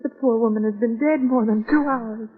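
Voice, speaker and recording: An actress playing a high-strung, grieving niece in a 1940s radio melodrama, incredulous and distressed. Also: female voice, normal speed, lightly acted. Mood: shocked, disbelieving. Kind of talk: 1940s radio drama acting. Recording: muffled, narrow-band old radio recording.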